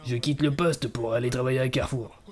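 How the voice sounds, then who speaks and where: A man fake-dubbing a fast-talking film cop. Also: mid-range voice, steady tone, a little mellow, natural voice. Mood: mock dubbing. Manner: rapid mock dubbing with offhand slang. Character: improvised dubbed Indian film policeman and townsfolk, adult men.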